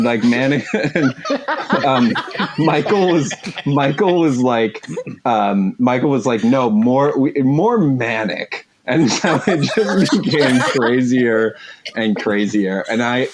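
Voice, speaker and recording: A man chatting casually as an interview guest, rambling and halting as he searches for words. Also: mid-range voice. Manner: halting, rambling, heavy use of like. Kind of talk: casual chat.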